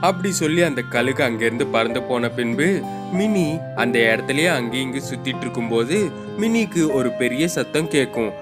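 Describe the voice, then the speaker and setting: A man of medium pitch reads a children's story as narrator. Loud guitar music runs beneath his voice. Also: expressive, fairly quick.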